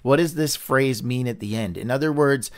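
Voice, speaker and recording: A man's voice: medium pitch, moderate pace. A man hosting a livestreamed Bible reading, teaching in an earnest, candid, conversational way with frequent restarts. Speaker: Bible study host.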